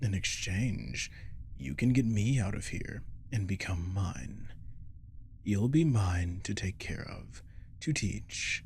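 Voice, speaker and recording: Casting: male actor as a manipulative captive werewolf for ASMR drama, deep and unhurried, coaxing and possessive, with menace under the tease. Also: lively intonation, a little mellow, a little hiss or hum. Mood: seductive, possessive. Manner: slow, coaxing, teasing, often dropping to whisper. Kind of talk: ASMR audio roleplay acting. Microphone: close microphone.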